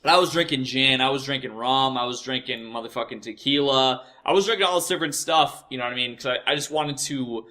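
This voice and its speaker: Bright-voiced American man rambling casually. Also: mid-range voice. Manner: profane, repetitive, halting and self-correcting. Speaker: host of a personal storytelling show. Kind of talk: casual rambling personal storytelling.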